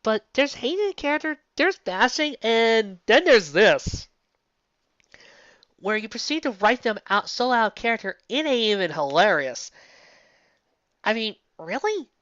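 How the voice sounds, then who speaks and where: A woman's voice: a little high, very animated, a little slow, a bit bright, dry recording, lo-fi sound. A woman giving casual, unscripted fan fiction commentary, incredulous and exasperated, often stopping to rephrase and asking rhetorical questions.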